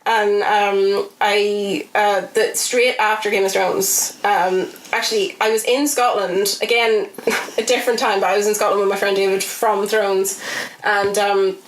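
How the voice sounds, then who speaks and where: A woman telling casual anecdotes as a podcast guest, chatty and animated. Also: medium pitch, unhurried. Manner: chatty, animated, giggly delivery with quoted asides. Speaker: podcast guest, actor and film extra. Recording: echoey room, faint background noise.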